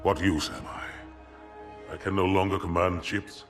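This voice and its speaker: An actor playing a proud but disgraced alien commander, speaking gravely and with restraint in a sci-fi game. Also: male voice, deep voice, flat delivery, measured pace, faint music behind. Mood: grave, bitter. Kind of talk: dramatic sci-fi game acting.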